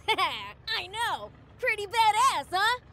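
Very high, cocky demon boy. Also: sing-song, drawn-out words, pushed and bright, heavily acted. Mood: gleeful, cocky. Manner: brash, boastful. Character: cocky, mischievous young boy demon prince. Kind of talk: English video game cartoon acting.

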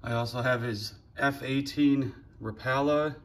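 A man, casually showing off his living room decor on a phone camera in a plainspoken, relaxed way. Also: mid-range voice, measured pace. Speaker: fishing channel host. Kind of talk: casual show-and-tell vlog. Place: living room at home, indoors. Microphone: handheld phone camera.

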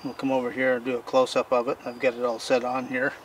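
A man's voice: mid-range voice, flat delivery, fast. Folksy older man demonstrating outdoors. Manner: folksy, rambling, plain-spoken. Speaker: homesteading channel host. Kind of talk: casual how-to demonstration. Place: outdoor backyard workspace. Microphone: camera mic from a distance. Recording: distant outdoor mic with noise.

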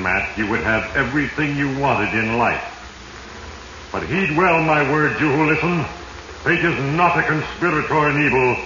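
An actor playing Fate, a sinister, solemn narrator who speaks deliberately and ominously in a 1940s radio drama. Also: male voice, mid-range voice, lo-fi sound, lightly acted. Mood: grave warning. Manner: heavy-handed, ominous, deliberate. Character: Fate, sinister, solemn, all-knowing male narrator addressing the doomed. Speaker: narrator and series host. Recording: echoey, noisy 1940s radio recording.

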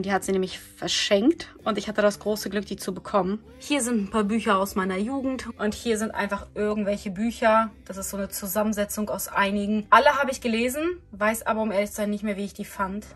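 A woman narrating a home vlog in a casual, chatty way, speaking directly and informally to her viewers. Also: medium pitch. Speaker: home and cleaning vlogger.

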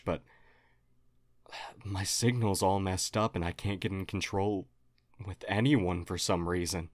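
A man's voice: deep voice, dry recording, studio quality. A man playing a friendly alien historian, formal, earnest and hesitantly apologetic, in an audio roleplay. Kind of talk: audio roleplay acting, addressed to listener.

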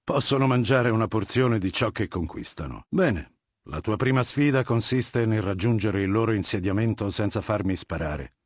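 An actor playing a cold, commanding, intellectual warlord dictator in a video game, speaking with deliberate, lecturing authority. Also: male voice, lowish voice, expressive, no echo, studio quality. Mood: weighing, commanding. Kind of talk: dramatic game dialogue, monologue.

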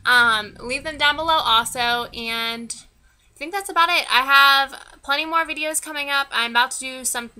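A woman's voice: high-pitched, moderate pace, sharp tone. A woman in her twenties from Pennsylvania with a Northern American accent, chatting informally and a little self-consciously as a vlogger. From Pennsylvania, United States. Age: 20s. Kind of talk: casual chat to camera, answering tag questions. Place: small wood-panelled room indoors.

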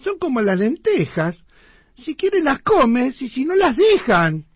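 Comic radio play: stubborn, cocky Buenos Aires tenement fellow as played, Argentine accent, unhurried, swinging pitch. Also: big pitch swings, breathy edge, dry recording, muffled recording, full character voice. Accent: Argentine, Buenos Aires slang. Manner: slangy, emphatic, drawn out.

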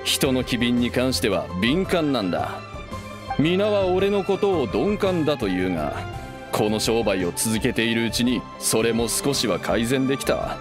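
A man's voice: mid-range voice, big pitch swings, unhurried, music in the background. A man playing a friendly, proud young merchant in an anime game, with casual, easygoing speech. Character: friendly self-made young male merchant, nostalgic and proud. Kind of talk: Japanese anime game voice acting.

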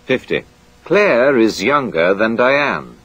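A man reading a scripted language course drill in a clear, measured way. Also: medium pitch, sing-song, fairly bright tone, dry recording, muffled recording.

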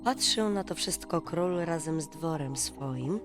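A woman storyteller reading a bedtime fairy tale in an expressive, dramatic, folksy way. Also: sits low, measured pace. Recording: music behind the voice. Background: music.

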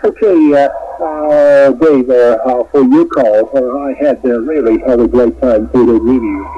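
A man with a Japanese accent talks as an amateur radio operator, courteous and halting. His mid-pitched voice comes through a dull shortwave signal with faint noise behind it. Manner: halting, polite, formal courtesies. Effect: heard over shortwave radio. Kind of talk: radio contact chat, phonetic spelling.

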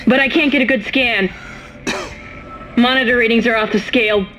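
Female game role, actress: practical technician, worried and urgent, fairly high voice, faint noise behind. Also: steady tone, moderate pace, a bit bright, natural voice. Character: anxious, practical female crew technician guiding a teammate. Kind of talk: video game drama.

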